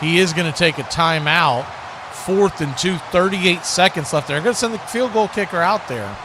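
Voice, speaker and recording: High-voiced man calling football, noisy background. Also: expressive, normal speed. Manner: conversational, mixes calls with opinion. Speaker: play-by-play announcer for a fan watch-party stream. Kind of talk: live football commentary. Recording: clearly noisy live stream audio.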